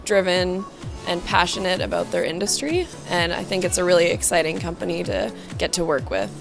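A woman's voice: on the low side, a little fast, creaky. A young woman from Canada, a university student, speaking enthusiastically into a handheld mic. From Victoria, Canada. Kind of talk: on-camera interview comments. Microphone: handheld interviewer mic. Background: background music.

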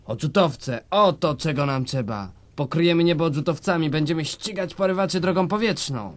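An actor as an eager, higher-voiced military official. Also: male voice, moderate pace, lightly acted. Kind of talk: satirical radio drama acting.

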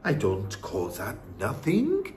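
A man voicing a calm, wise old grandmother in a storybook, speaking softly and reassuringly in a measured, hushed way. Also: lowish voice, pitched below the performer's own voice, heavily acted. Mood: grave, hushed.

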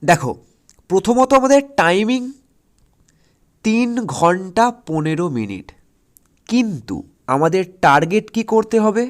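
A man with a high-pitched voice and a West Bengal Bengali accent gives an informal exam lesson. He explains each step instructively, restates key points and punctuates them with short check-in questions. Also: big pitch swings, a little slow, pauses often. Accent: Indian Bengali, West Bengal. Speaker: exam-prep history teacher on an online channel.

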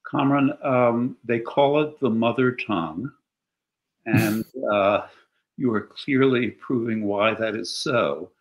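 An older man hosting an interview over a video call from his living room, speaking in a courteous, measured way. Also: mid-range voice, dull audio. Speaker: interviewer, host. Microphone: video call webcam.

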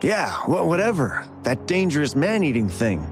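A man's voice: a little high, sing-song, moderate pace, over music. A man playing a chatty, shifty delivery courier in a video game, with a theatrical and evasive manner. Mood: dramatic. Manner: theatrical, evasive, stammering when pressed. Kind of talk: video game character dialogue.

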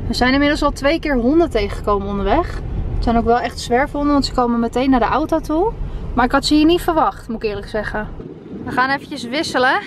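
A young woman from the Netherlands talks chattily to her camera on a road trip. Her voice sits high and light. Car and wind noise run clearly underneath the camera audio. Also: fast talker. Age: 20s. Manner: chatty and upbeat, talking to the camera. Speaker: travel vlogger. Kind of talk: casual travel vlog narration. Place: inside a car and outdoors in windy desert. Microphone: camera held at arm's length.

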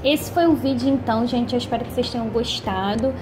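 A woman's voice: on the high side, a little fast, low-quality recording. A Brazilian woman hosting a home cooking tutorial in a chatty voice-over, often checking in with "tá?". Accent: Brazilian Portuguese. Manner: chatty, frequent "tá?" check-ins. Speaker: cooking channel host. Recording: music bed underneath.

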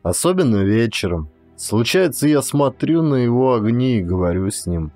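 A man's voice: mid-range voice, animated, normal speed, dark tone, soft background music, close to an everyday voice. A man playing a melancholy, reflective character who confides sorrowfully in an audiobook thriller. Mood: wistful. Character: melancholy, grateful man confiding about his city.

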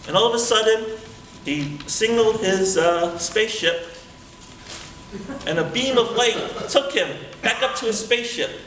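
A middle-aged man telling a comic tall tale live with energetic, theatrical delivery. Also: high-pitched, a little slow. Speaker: speech contest storyteller. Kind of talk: animated live comic storytelling. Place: indoor meeting hall with small stage. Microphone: far camera microphone. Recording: distant camera, echoey room, background noise.

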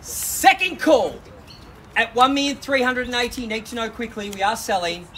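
High-voiced Australian auctioneer calling bids outdoors. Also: male voice, expressive, pushed and bright, slight background noise. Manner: brisk, persuasive, pushing bidders to act. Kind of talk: live property auction calling. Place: outdoors on a suburban front driveway.

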